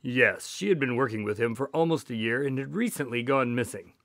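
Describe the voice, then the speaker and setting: An actor playing a courteous, curious doctor and detective's sidekick in a radio drama, with a polite manner. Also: male voice, medium pitch, fairly quick, a bit bright, no echo, clean studio sound, natural voice. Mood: genial, storytelling.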